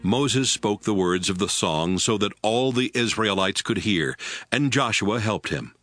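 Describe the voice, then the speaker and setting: A man narrates scripture in a lowish voice. The recording is dry, with faint music underneath. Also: animated, a little slow, fairly bright tone, dry recording.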